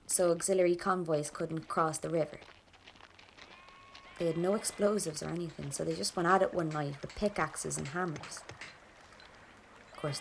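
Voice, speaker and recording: Grieving Irish woman, audio drama monologue, restrained and plain-spoken, gravelly fry, faint background noise. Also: fairly low pitch. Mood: sombre. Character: grieving, stubborn Irish woman from a 1920s rebel family.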